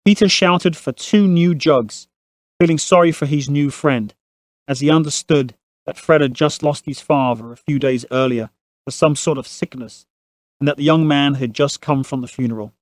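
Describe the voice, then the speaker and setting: A man's voice: a little high, normal speed, no room sound. A man narrating a family saga as a scripted audio drama storyteller.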